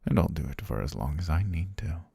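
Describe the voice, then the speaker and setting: A man performs an obsessively devoted butler for an ASMR roleplay, courtly and patient with menace underneath. The voice is extremely low and very husky, sinking into gravelly creak. He coaxes softly in formal, half-whispered phrases, close and dry. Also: very animated, brisk, no room sound, exaggerated voice. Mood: patient devotion. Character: possessive, lovestruck yandere male butler, patient and menacing.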